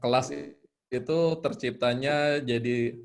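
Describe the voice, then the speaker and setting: A man's voice: mid-range voice, little pitch movement, takes it slowly. A man giving a casual online talk as a guest storyteller, informal and hesitant as he searches for words. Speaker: guest storyteller sharing tips. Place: online live video call.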